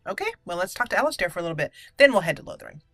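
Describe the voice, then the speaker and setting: A woman's voice: fairly low pitch, very animated, very fast, a bit bright, no room sound, studio quality, soft background music. A woman hosting a roleplay let's play, chatting casually and playfully as she thinks aloud. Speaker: game roleplay channel host.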